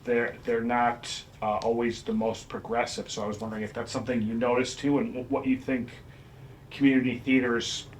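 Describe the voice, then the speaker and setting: A man's voice: mid-range voice, level tone, brisk. A man in the audience asking a casual question, hesitant and stumbling over his starts. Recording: noisy room at a live event.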